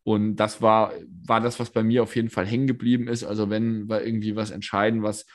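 A hoarse man co-hosting a casual political podcast, talking things through with many fillers and restarts, recorded remotely. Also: lowish voice, flat delivery, fairly quick. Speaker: podcast co-host, state politician.

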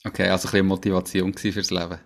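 A man's voice: low voice, flat delivery, very fast, a bit bright. A man hosting a podcast, asking curious follow-up questions. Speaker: podcast host. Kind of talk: casual interview.

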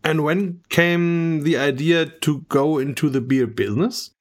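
A man's voice: fairly high pitch, unhurried. A man hosting a podcast interview who is friendly, laughs often and restarts his phrases. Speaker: podcast host.

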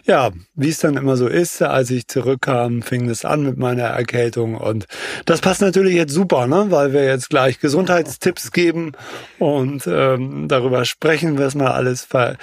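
Man with a cold hosting a podcast, casual and chatty, mid pitch, dry close mic. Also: no room sound. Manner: chatty, seeks agreement with "ne?". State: has a cold. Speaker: podcast host.

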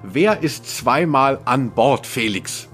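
A man's voice: mid-range voice, animated, slow pace, a bit bright, soft background music. A man hosting a podcast quiz in casual chat, teasing and playfully theatrical as he poses questions. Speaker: podcast host and quizmaster.

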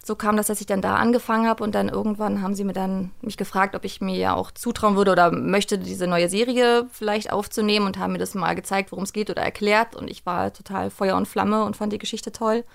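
A woman's voice: mid-range voice, fairly even intonation, quick pace, creaky, few pauses. A woman chatting casually and informally as an interview guest, talking like someone who works in voice acting. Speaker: interview guest, voice actor and audio drama director.

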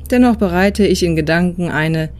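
A woman's voice: on the low side, normal speed, a little mellow. A woman narrating a scripted first-person travel account in a literary, descriptive storytelling manner, as host of a walking podcast.

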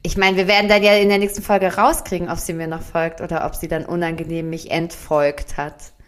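A woman's voice: on the low side, flat delivery, fairly quick. A young woman co-hosting a casual podcast, blunt and joking in her chat. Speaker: podcast co-host.

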